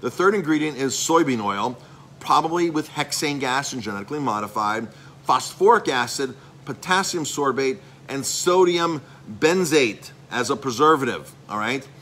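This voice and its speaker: A man's voice: mid-range voice, animated, moderate pace, a bit bright, slight background noise. A middle-aged man, a chef and restaurant owner, giving a rambling, salesy live-stream update with lots of filler words.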